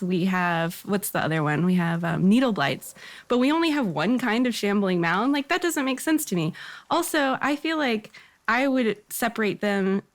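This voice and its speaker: Enthusiastic woman chatting as a guest. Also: medium pitch. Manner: enthusiastic, effusive. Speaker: podcast guest. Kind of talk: casual excited chat.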